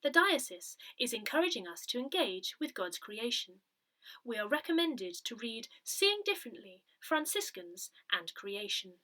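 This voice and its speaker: A woman reading written articles aloud in a measured, clearly phrased way, as for an audio newsletter. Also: on the high side, big pitch swings, pushed and bright. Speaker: newsletter reader.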